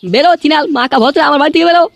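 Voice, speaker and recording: Woman playing a shrill, furious, gabbling cartoon husband. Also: rapid-fire, gravelly, highs cut off. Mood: enraged. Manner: abusive, explosive ranting. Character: furious, foul-mouthed jealous cartoon husband. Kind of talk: comic cartoon dialogue. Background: loud music.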